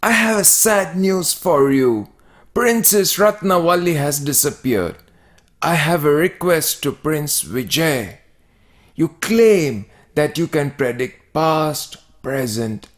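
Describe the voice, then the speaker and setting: High-voiced, commanding king played by a man. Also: lively intonation, slow. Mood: grave, pleading. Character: worried, commanding king and father. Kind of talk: children's animated story dialogue.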